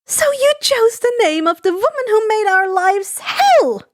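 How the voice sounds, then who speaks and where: A woman voicing an indignant motherly woman from an animated-style fan story, by turns scolding and sweet. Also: very high-pitched, unhurried, no room sound, studio quality, voice pushed up, heavily acted. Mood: scolding, exasperated.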